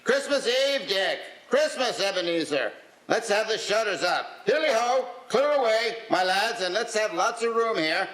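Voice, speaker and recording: Jolly old Fezziwig, very high forceful hollering. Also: male voice, animated, moderate pace, echoey room, low-quality recording. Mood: jovial. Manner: hearty calling out. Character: jolly, booming old merchant master, hearty and boisterous. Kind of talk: live radio-play style stage reading.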